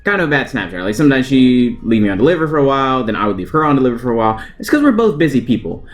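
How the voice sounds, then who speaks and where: A young American man with a highly animated, mid-pitched voice talks casually, as if recounting a personal story to viewers. His phrasing is colloquial and self-deprecating, with a joking edge. Guitar music plays behind him. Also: racing. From United States. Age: young adult. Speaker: vlogger telling personal stories.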